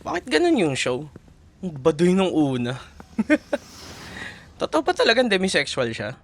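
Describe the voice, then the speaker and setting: A man's voice: on the high side, huge pitch swings, normal speed. A man acting as caring, exasperated young Filipino boyfriend. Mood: light, chatty. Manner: casual Taglish, coaxing and earnest. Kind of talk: boyfriend roleplay audio, intimate conversational acting.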